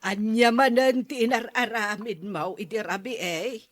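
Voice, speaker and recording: A woman's voice: a little high, a little slow, dry recording, close to an everyday voice. A woman with an Ilocano accent playing an exasperated mother who scolds a drunkard in an audio drama. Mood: scolding. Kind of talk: Ilocano radio-style drama acting.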